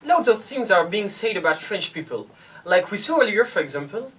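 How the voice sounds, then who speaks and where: A co-presenter of a parody news show, reading a scripted link in a deadpan way. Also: medium pitch, distant mic.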